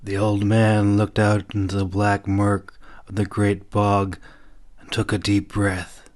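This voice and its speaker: A man's voice: lowish voice, completely flat delivery, slow, darkish tone. A man reading scripted fantasy narration as the author of an audiobook.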